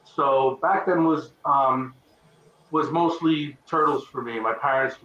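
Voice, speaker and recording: A man from Texas, answering as a guest over a video call in a rambling, halting way with many restarts. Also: mid-range voice, fairly bright tone, a little hiss or hum. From Texas, north of Dallas. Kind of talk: casual interview talk. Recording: roomy, distant, muffled call audio.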